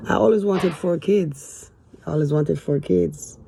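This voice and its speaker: A woman in her fifties talking hesitantly to camera in a casual, rambling way, with sighs and trailing pauses. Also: low-pitched, creaky, warm tone, slightly rough. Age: 50s. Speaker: vlogger. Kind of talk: casual confessional talk to camera.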